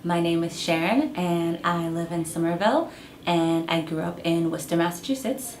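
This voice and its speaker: A young woman from Kenya answers interview questions in a low voice with a gravelly edge, thinking aloud through frequent ums. Her words ring in an echoey room with a faint hiss behind her. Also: a little mellow. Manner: thoughtful, measured, with frequent um and uh.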